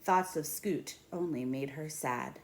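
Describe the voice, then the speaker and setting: Low-pitched woman reading a storybook aloud. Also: unhurried. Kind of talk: picture-book read-aloud. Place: small indoor room at home.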